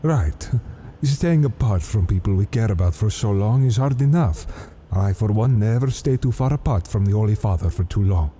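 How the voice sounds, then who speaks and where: A polite, devout old cardinal, as a man acts him out, with a dark, mellow, lowish voice. He speaks formally and earnestly. A faint hiss sits underneath. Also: lively intonation, normal speed, hardly any pauses, lightly acted. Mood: courteous.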